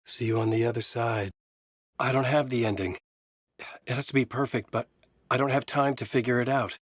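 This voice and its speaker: Tormented male novelist for a horror video game, played by a male actor: mid-pitched, husky, grave, introspective noir monologue with intense conviction. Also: fairly even intonation, normal speed, dry recording, studio quality, close to an everyday voice. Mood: urgent, troubled. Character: tormented male thriller writer trapped in a horror story. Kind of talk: brooding dramatic game dialogue and noir monologue.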